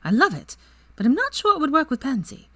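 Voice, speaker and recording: A woman voicing a spirited, mischievous young witch in a high, playful voice. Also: big pitch swings, fairly quick, pushed and bright, dry recording, heavily acted.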